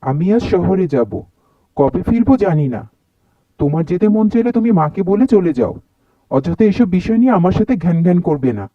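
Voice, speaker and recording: A man's voice: high-pitched, lively intonation, fairly quick, no room sound, phone-quality sound. A man playing an irritable, dismissive young husband in a cartoon story, snapping curtly. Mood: annoyed, dismissive. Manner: curt, nagging. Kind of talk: Bengali cartoon story dialogue.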